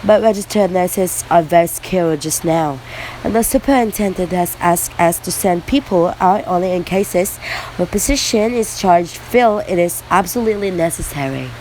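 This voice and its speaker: A woman playing a polite, reserved hospital receptionist in an amateur radio play, formal, courteous and measured. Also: fairly low pitch, darkish tone, a little hiss or hum, natural voice, rough recording.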